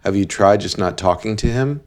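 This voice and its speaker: A man playing a blunt, deadpan cab driver who gives relationship advice in an animated series. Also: lowish voice, moderate pace, natural voice. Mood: blunt, analytical.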